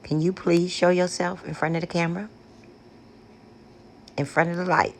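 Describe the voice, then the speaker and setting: A woman with a deep, coarse voice, slowly and gently calling out to spirits on her front porch and then chatting casually with her viewers. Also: little pitch movement, slight background noise. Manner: gentle, pleading, repetitive calling out. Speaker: paranormal vlogger. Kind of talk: slow, hushed spirit invocations mixed with casual chat to viewers. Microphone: external microphone.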